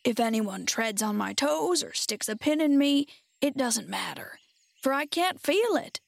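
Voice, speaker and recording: A woman voicing a friendly, simple stuffed scarecrow man from a storybook, in a husky voice with slow, polite, drawn-out speech. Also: on the high side, expressive, no room sound, heavily acted. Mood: earnest, confiding.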